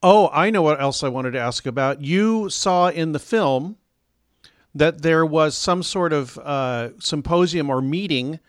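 Podcast host guy, chill, thinks out loud, dry close sound. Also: on the high side, a little slow, dry recording. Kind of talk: casual podcast conversation.

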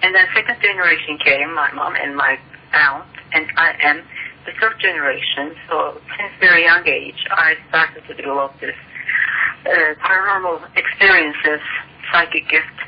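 A woman's voice: low-pitched, normal speed, slightly rough. A woman from Turkey with a Turkish accent, a native Turkish speaker, explaining her work earnestly as an interview guest. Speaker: guest author and ufologist. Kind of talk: interview over a phone line. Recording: muffled phone-line recording with faint hiss.

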